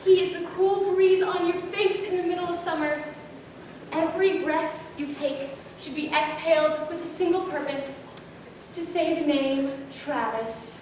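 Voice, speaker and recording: A woman's voice: very high-pitched, measured pace, darkish tone. A teenage girl performing a melodramatic, gushing reading in a school readers theater. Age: teens. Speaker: student performer. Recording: echoey room from far off with noise.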